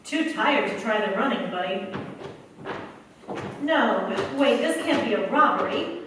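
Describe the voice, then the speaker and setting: A woman's voice: fairly high pitch, lively intonation, a little slow. A woman acting a tired, tough factory girl in a 1940s-style radio play, wry and slangy, with an American accent. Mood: wry defiance. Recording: very echoey, distant mic, faint noise.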